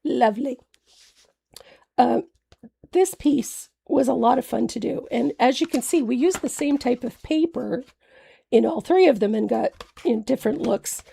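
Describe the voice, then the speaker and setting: A hoarse woman with a gravelly voice, chatting with viewers by name while demonstrating mixed-media painting on a live stream. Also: high-pitched, a little slow. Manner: chatty, greets viewers by name, warm fillers. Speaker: craft painting instructor.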